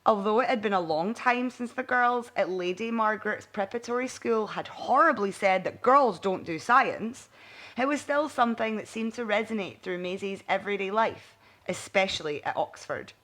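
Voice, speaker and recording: A woman reading a bedtime story aloud in an expressive audiobook narration style. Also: medium pitch, normal speed. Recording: music behind the voice.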